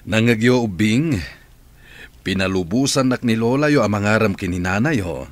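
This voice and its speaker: A man as a deep-voiced earnest suitor. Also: lively intonation, moderate pace, no echo, close to an everyday voice. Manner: earnest and persuasive. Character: earnest grown man courting a woman. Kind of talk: radio drama acting.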